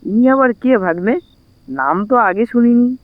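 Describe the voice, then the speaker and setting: A man's voice: very high-pitched, unhurried, dry recording. A man playing a genial, chatty village uncle in an audio drama, familiar and folksy, through a telephone filter. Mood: teasing, puzzled. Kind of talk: Bengali audio story acting. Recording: thin, phone-like narrow band.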